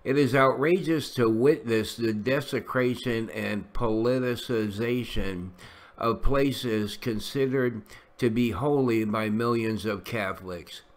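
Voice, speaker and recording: Slow, halting man reading an article aloud. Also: medium pitch. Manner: halting reading, stumbles over names, chuckles and sighs. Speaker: channel host reading a news article. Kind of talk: casual reading with asides.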